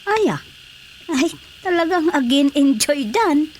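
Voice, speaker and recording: A high-pitched, chatty village council woman who loves gossip and teasing. She talks with a Filipino accent in radio drama comedy style, over faint background noise. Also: lively intonation, unhurried, darkish tone. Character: gossipy, giggly female village council colleague.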